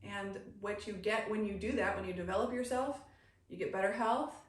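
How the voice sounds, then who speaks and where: Middle-aged American woman, animated interview guest and coach, casual storyteller, recorded off-mic in a heavily reverberant room. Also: medium pitch, moderate pace. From grew up in the United States. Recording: very echoey room, distant mic, faint noise.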